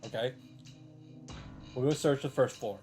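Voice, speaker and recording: A man's voice: medium pitch, unhurried, a little mellow, soft background music. Man reading a visual novel aloud. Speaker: let's play host.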